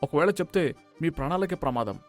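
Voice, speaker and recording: A gangster in hiding, acted by a man, high-voiced and quick, suspicious of everyone. He talks with urgent menace, as in an animated moral tale with music underneath. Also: close to an everyday voice. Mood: stern, threatening. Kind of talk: dramatic moral-story voice acting.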